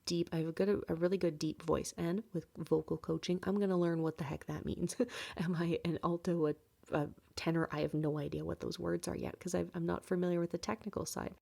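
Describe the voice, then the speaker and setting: Late 20s woman podcasting from home, low mellow voice, rambly and candid w lots of fillers. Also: flat delivery, a little fast, creaky. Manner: candid, rambling, frequent fillers and light laughs. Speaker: podcast host. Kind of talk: personal reflective monologue.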